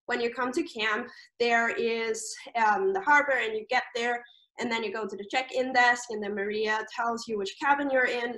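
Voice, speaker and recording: A woman's voice: a little high, fairly bright tone, low-quality recording. A young woman from Austria, a native German speaker with an Austrian German accent, chatting casually about camp in an interview over a video call, full of fillers and restarts. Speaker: camp staff member.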